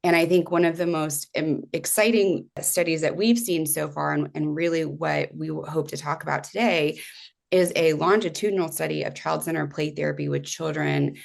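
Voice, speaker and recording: Low, gravelly-voiced woman co-host. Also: steady tone, moderate pace. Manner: bubbly, self-correcting, chatty. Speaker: podcast co-host. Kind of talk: casual podcast hosting.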